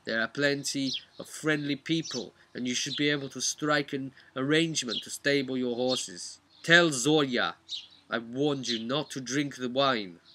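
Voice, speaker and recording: A man's voice: medium pitch, a little slow, background noise, natural voice. A man voicing a wary, plain-spoken trapper from the wilds in a tabletop fantasy game. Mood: cautionary.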